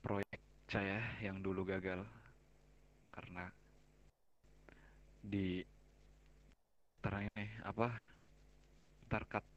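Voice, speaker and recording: A man speaking formally in character in a roleplay game, slow and halting, sometimes sinking to a near whisper. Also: low-pitched, flat delivery, highs cut off, slight background noise. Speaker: roleplay company founder. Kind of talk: in-character roleplay speech over voice chat.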